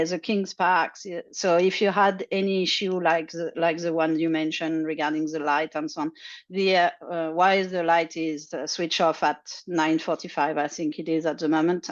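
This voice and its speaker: Woman, lowish voice, explains at length on a muffled video call, casual and chatty. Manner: chatty, explanatory, frequent uh fillers, laughs lightly. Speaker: charging network representative. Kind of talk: casual online Q&A update. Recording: dull lo-fi audio.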